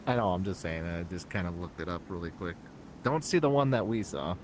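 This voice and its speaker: A young adult man chatting and teasing casually next to a streamer, with a deep, relaxed voice. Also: moderate pace, slight background noise. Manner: deep, laid-back, teasing. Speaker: streamer's friend. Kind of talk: casual chat beside the streamer.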